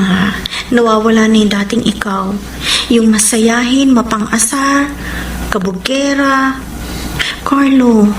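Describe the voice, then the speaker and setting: A woman's voice: on the high side, noisy background, lightly acted. A woman with a Filipino accent playing a jealous but devoted young woman in love in an emotive radio romance drama. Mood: tender, concerned. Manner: emotive, mixes Tagalog with English. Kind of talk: radio romance drama acting and letter narration. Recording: roomy, distant, loud music underneath.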